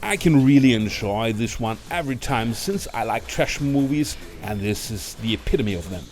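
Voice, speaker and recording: A man's voice: medium pitch, lively intonation, moderate pace, darkish tone. Man narrating a film ranking over music. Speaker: video presenter and film reviewer. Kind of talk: scripted review narration for a ranked list.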